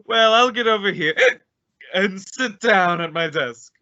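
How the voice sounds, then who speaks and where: Man playing a shrill, flustered, pompous school principal. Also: slow pace, sharp tone, heavily acted. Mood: nervously jovial. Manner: pompous, stammering, indignant. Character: flustered, blustering, dizzy male school principal. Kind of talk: old-time radio comedy acting. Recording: dull lo-fi recording.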